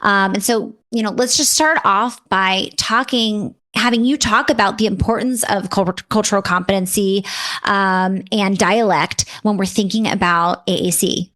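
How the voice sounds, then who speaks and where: A woman's voice: mid-range voice, normal speed, a bit bright. A woman with a mid-range voice hosts a podcast interview. She sounds upbeat and chatty, filling her talk with "um" and "you know". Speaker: podcast host. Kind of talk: casual interview hosting.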